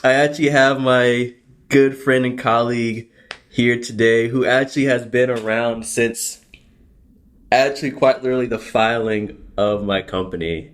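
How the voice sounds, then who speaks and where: A man hosting a podcast, asking questions in a loose, friendly way with plenty of filler words. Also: medium pitch. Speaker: podcast host. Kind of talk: casual interview chat.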